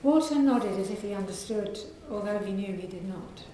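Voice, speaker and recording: A woman with a soft, croaky voice, an author reading aloud from her novel to an audience in a library. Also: mid-range voice, expressive, very echoey, slight background noise. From England. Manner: measured reading with clearing of the throat.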